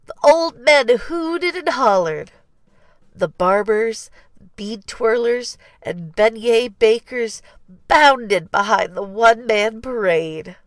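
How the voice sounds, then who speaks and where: A woman narrating a children's storybook in an expressive, deliberate read-aloud style. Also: on the high side, no echo. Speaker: storybook reader.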